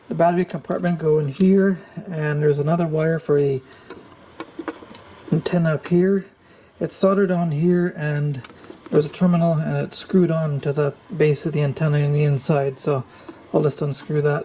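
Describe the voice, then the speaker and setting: A man giving an unscripted, hands-on repair walkthrough, thinking aloud in a halting, tentative way. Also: fairly high pitch, dark tone, a little hiss or hum. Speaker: repair tutorial host.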